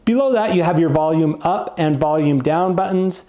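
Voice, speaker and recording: A man's voice: on the high side, moderate pace, warm tone. A man giving a casual product review, explaining things plainly, step by step.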